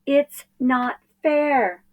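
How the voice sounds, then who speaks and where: A woman voicing an indignant, desperate young boy adventurer with drawn-out, wailing exclamations in a storybook. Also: high voice, narrow, tinny audio, pitched above the performer's own voice.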